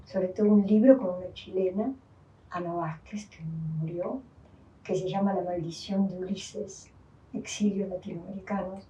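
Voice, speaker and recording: An older woman with a Uruguayan Spanish accent tells her life story in an interview, pausing and restarting as she thinks. Her voice is mellow and dark. The recording is dull and roomy, with the mic set back from her. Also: medium pitch. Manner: thoughtful, with many restarts and fillers. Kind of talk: reflective personal testimony. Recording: distant, dull, roomy sound.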